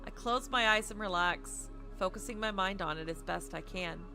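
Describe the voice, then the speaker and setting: A woman livestreaming a visual novel, switching between playful, chatty asides and expressive read-aloud narration. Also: mid-range voice, moderate pace. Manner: playful, chatty, self-deprecating. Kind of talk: casual stream chat mixed with expressive read-aloud narration. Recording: music playing behind the voice. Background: game music.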